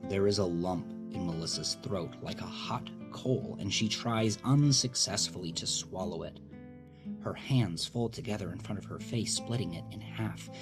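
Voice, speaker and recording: Very low-voiced male story narrator. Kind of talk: scripted dramatic fiction narration. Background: plucked guitar music.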